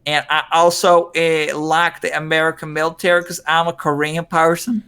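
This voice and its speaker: A man voicing a Korean man who speaks slow, overly formal broken English. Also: a little high, level tone, sharp tone, clear, resonant tone. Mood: earnest.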